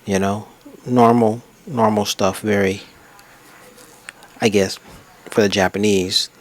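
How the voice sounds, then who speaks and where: A man's voice: on the low side, steady tone, takes it slowly. A man narrating his own travel photos in a casual, unscripted way, rambling and reflective as he reminisces. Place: untreated room at a desk.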